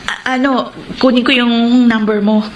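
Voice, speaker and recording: A woman's voice: fairly high pitch, level tone, unhurried, natural voice. An actress playing an earnest, modest young working-class woman in a radio romance drama. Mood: friendly. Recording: roomy echo, faint background noise.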